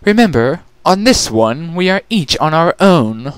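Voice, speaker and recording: A man's voice: fairly high pitch, sing-song, measured pace, clear, resonant tone, no room sound, voice pushed up. A man voicing a smug, bossy young female creature with a haughty, commanding manner in a story reading. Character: smug, bossy young changeling sister, brisk and competitive.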